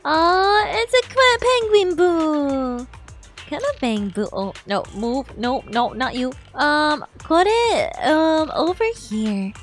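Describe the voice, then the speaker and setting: A high-voiced woman game streamer with very lively intonation. She is playful and expressive, switching between reading game text aloud and reacting, with soft music behind her. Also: slow. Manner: playful, expressive, cutesy exclamations.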